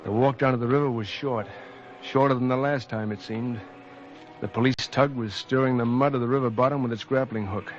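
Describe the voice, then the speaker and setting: A man's voice: mid-range voice, steady tone, a little fast, close to an everyday voice. A man playing a world-weary cop who narrates an old-time radio crime drama in a terse, brooding, hardboiled manner, on a vintage recording. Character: world-weary male cop narrating his own guilty downfall. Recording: dull vintage radio recording, music behind.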